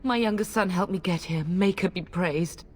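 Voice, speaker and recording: A woman's voice: lowish voice, normal speed, breathy edge. An actress playing a middle-aged noble mother in a video game, fierce, devoted and urgent, with emotional delivery. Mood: anxious. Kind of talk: dramatic video game acting.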